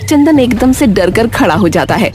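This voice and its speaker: Animated woman narrating a story. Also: a little high, moderate pace, over music. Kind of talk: scripted dramatic narration.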